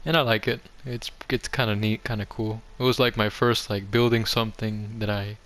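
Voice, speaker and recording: Clear-voiced young man, rambling casually. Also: lowish voice, little pitch movement, moderate pace, fairly bright tone. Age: young adult. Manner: rambling, self-deprecating, offhand. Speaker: gaming video host. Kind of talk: casual game tour commentary. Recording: music behind the voice. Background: background music.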